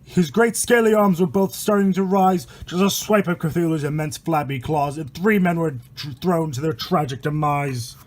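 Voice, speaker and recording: A man's voice: a little high, normal speed, hardly any pauses, a little mellow. A man reading a rhyming horror story aloud in a dramatic, irreverent way, stumbling over words and swearing in casual asides. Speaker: amateur storyteller and host.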